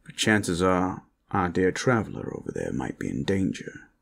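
A man playing a weary, serious and cautious protector in an audio roleplay, speaking in a measured, earnest way. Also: deep voice, big pitch swings, creaky, full character voice. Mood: cautious, reassuring.

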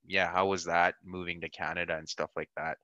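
Low-voiced man hosting a podcast. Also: little pitch movement, fairly quick, pushed and bright, dry recording, dull audio. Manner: friendly, backchannels often. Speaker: podcast host. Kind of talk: casual interview.

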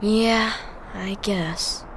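A man's voice: high voice, fairly even intonation, extremely slow, a bit bright, a little hiss or hum, full character voice, poor audio. An actor voicing an eager teenage boy hero in a game cutscene.

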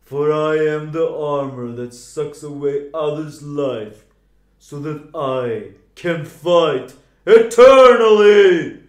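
A man voicing a heavy, relentless living armor that speaks in deliberate declarations. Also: fairly high pitch, expressive, darkish tone, reverberant. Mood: grim.

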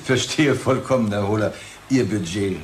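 An actor playing a pushy, jovial company boss in a radio play, with a patronizing, businesslike manner. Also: male voice, lowish voice, normal speed, a little hiss or hum, close to an everyday voice. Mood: placating, patronizing.

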